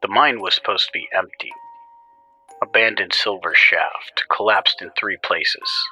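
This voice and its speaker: A man's voice: low-pitched, fairly even intonation, a little slow, bright, forceful tone, breathy edge, soft background music, low-quality recording. A man hosting and narrating a scripted scary story in the first person. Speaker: horror story narrator and show host.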